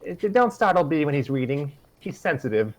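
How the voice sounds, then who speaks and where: A man voicing a gentle teenage flower-child boy with a squeaky voice in a story reading. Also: very animated, fairly quick. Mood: gentle warning.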